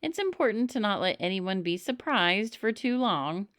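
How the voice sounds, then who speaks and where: A woman's voice: mid-range voice, very animated, normal speed, a bit bright, dry recording, clean studio sound. Woman reading as an earnest, emphatic young boy. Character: anxious, earnest young boy on camping trip. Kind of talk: children's storybook character voice.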